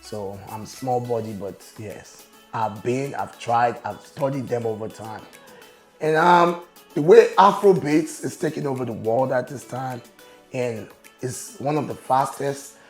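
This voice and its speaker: A man with a raspy, mid-pitched voice tells his story in a relaxed, confident way. He sounds like a guest on an interview show. The room is roomy and echoey, with faint music underneath. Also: a little slow. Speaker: guest, business owner and show promoter. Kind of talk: casual interview talk.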